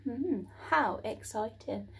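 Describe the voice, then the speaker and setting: A woman reading a children's book aloud as expressive, dramatic storybook narration. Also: on the high side, slow pace, creaky, far from the mic, slight background noise. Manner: dramatic, with acted sound words.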